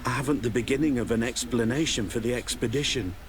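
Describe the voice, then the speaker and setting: A man's voice: mid-range voice, fast, a crowd in the background, natural voice. An actor playing an anxious, evasive guard in a video game, stammering and deferential as he reports to his superiors. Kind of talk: video game dialogue acting.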